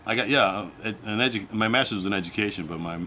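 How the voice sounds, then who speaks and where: A man in his fifties from the United States, a guest telling stories in a relaxed, casual interview and laughing easily. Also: lowish voice, a little fast. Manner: relaxed storytelling with easy laughs. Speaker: guest, army veteran and teacher. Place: bar or club.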